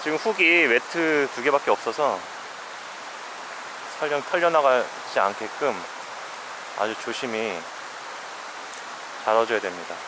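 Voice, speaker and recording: A man's voice: mid-range voice, unhurried, pauses often. Man muttering by a mountain stream. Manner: muttering to himself, wry and weary. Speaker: fly fishing vlogger. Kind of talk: casual first-person fishing narration. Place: outdoors by a shallow mountain stream. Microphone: head-mounted action camera. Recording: outdoor stream noise behind.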